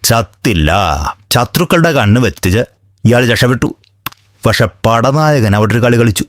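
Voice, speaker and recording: A man's voice: mid-range voice, expressive, normal speed, no room sound, lightly acted. A man playing a shrewd, self-important village astrologer in a comedy cartoon, stern and pompous in his pronouncements. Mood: solemn pronouncing. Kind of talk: comedy cartoon skit dialogue.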